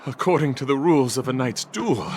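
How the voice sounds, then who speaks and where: A man's voice: mid-range voice, lively intonation, a little fast, darkish tone, soft background music, natural voice. A man voicing an earnest, chivalrous knight devoted to beauty in a video game, with a courtly, theatrical manner.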